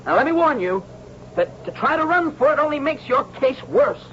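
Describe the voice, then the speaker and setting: An actor playing an eager, dutiful police sergeant with an official warning tone in a radio drama. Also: male voice, extremely high pitch, animated, moderate pace, a bit bright. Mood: urgent. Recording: dull, noisy old radio recording.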